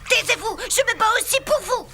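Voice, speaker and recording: A woman's voice: very high voice, expressive, fairly quick, bright, forceful tone, exaggerated voice. A woman voicing a feisty, grumpy little talking flower with blustering, exaggerated delivery in a French children's cartoon. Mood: defiant, combative. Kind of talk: dubbed children's cartoon acting. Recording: music under the voice.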